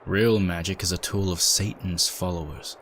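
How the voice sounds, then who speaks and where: A man's voice: low voice, moderate pace, a bit bright, a little hiss or hum. A man playing a stern, devout, stubborn man in an audio drama reading, terse and distrustful, with an edge to his words.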